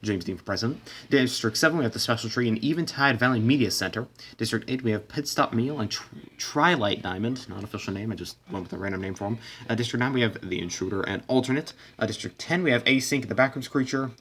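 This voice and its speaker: Fast-talking man doing casual game commentary. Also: medium pitch, animated, a bit bright, slight background noise. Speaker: host narrating a simulation.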